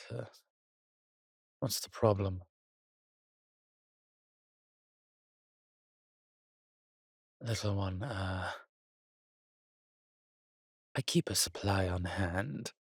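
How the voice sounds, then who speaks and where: An actor playing a weary, wry, courtly vampire in a roleplay audio drama, speaking haltingly to the listener in a refined, slightly pompous manner. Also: male voice, low-pitched, breathy edge, no echo, exaggerated voice. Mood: weary, reflective. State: weakened, recovering.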